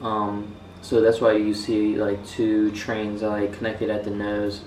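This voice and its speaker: Young guy from the us, kinda low voice, lots of ums, sounds a bit far from the mic with faint room hum. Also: completely flat delivery, slow pace, a little mellow, roomy sound. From United States. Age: young adult. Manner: rambling, many fillers and restarts. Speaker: student vlogger. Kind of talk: casual vlog talk.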